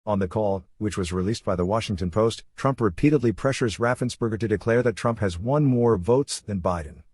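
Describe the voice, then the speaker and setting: Man reading news, husky dry voice. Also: lowish voice, a little fast, a little mellow, dry recording. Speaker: news reader. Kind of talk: scripted news narration.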